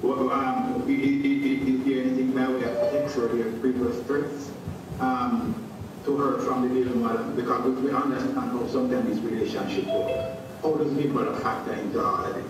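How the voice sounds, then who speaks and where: A man's voice: mid-range voice, steady tone, a little fast. A man working as a journalist, putting a question at a press briefing in a hesitant, stammering way. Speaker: news journalist. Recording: very echoey, distant, noisy remote connection.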